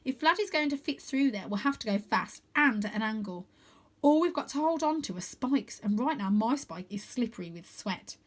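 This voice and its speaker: A woman reading a children's adventure book aloud as storyteller, with expressive, dramatic emphasis. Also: fairly high pitch, normal speed.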